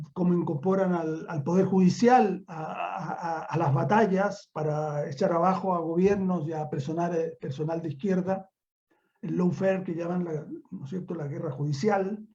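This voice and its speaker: A man's voice: high voice, dark tone. An older man with a Chilean accent lecturing off the cuff as a professor, emphatic and repeating words for weight. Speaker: university professor, guest speaker. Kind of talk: extemporaneous online academic lecture. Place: remote online conference call. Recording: dull lo-fi online call audio.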